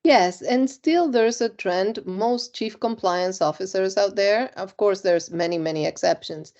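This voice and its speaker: A middle-aged woman from Argentina, interviewed about her career, speaks in a measured, reflective way with frequent ums. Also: medium pitch, a little mellow, muffled recording. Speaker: compliance executive, interview guest.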